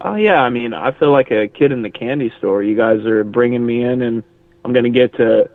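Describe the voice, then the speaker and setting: A man's voice: medium pitch, brisk, no echo. A man appearing as a podcast guest, chatting casually with a self-deprecating, joking manner. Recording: dry, tinny phone line.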